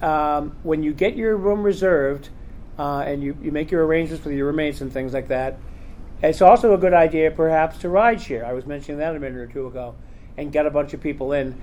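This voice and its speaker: A man's voice: fairly high pitch, a little mellow, a little hiss or hum. Chatty man hosting a convention panel. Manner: rambling, chatty, full of fillers. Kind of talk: casual panel talk. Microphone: recorder in the room.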